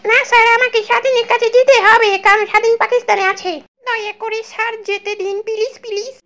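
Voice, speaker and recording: A very high, squeaky cartoon boy, cheeky and boastful. He talks in taunts and exclamations, in the broad manner of comic cartoon dubbing. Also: level tone, fast talker, bright, forceful tone, full character voice. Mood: pleading. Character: cheeky, boastful childlike cartoon troublemaker named Shubhojit, male.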